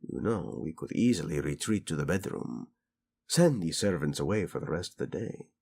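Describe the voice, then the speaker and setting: A man's voice: very deep voice, expressive, normal speed, creaky, mellow, husky, full character voice. A man playing a flirtatious, doting prince and husband in a romantic audio roleplay, speaking intimately and expressively. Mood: teasing, flirtatious.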